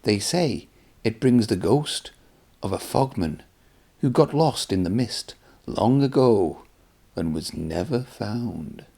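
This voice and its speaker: A man's voice: lowish voice, expressive, takes it slowly, creaky, warm tone, breathy edge, full character voice. A man voicing a steam engine who tells spooky tales in a storybook, with an ominous storytelling manner. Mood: eerie, hushed. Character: spooky-tale-telling male steam engine.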